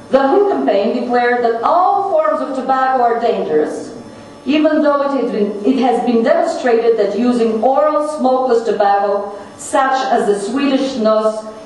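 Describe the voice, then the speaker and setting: A woman with a high voice delivers a formal, scripted speech in deliberate, emphatic phrases. She sounds distant from the microphone, in a very reverberant room. Some noise sits in the recording. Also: expressive, a little mellow. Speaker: conference speaker reading another person's message. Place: large echoing conference room. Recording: very echoey hall, distant mic, noisy.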